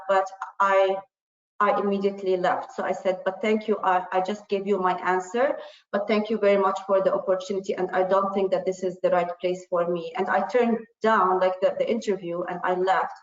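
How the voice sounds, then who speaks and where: A woman's voice: medium pitch, flat delivery, fairly quick. A woman whose first language is Arabic, speaking earnestly as a panelist with many fillers and restarts. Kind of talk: panel discussion. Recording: muffled, roomy.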